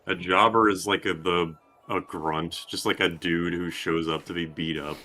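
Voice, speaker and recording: A man giving casual gameplay commentary in a rambling, halting, sweary way. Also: low voice, fairly even intonation, pushed and bright. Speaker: video game streamer. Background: game music.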